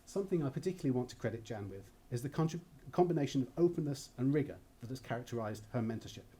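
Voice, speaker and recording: A man giving a formal, prepared introductory speech as an academic colleague, in a measured and articulate way. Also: mid-range voice, mellow, husky. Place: lecture hall at a university event.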